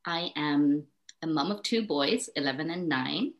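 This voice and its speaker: A woman's voice: sits low, unhurried. A woman in her forties, a guest on a podcast, talking casually and animatedly with frequent laughs and ums. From Hong Kong. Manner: lively, laughs often, many ums. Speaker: guest, parenting coach. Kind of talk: casual interview chat.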